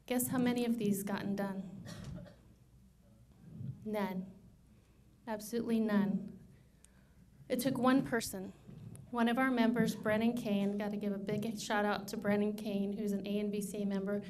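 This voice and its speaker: A woman's voice: mid-range voice, normal speed, long pauses. Woman speaking persuasively at a podium. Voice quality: soft voice. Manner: energetic, persuasive, rhetorical questions. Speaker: conference speaker. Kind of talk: conference presentation. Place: university conference room, at a podium. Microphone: podium microphone.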